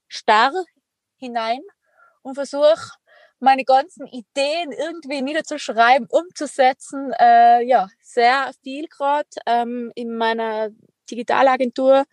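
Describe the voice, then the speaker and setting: A woman's voice: high-pitched, steady tone, takes it slowly, no echo. A young woman with a Tyrolean accent, chatting casually as a podcast guest, upbeat and full of little fillers and laughs. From Tyrol, Austria. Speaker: guest, extreme athlete and entrepreneur. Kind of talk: casual interview chat.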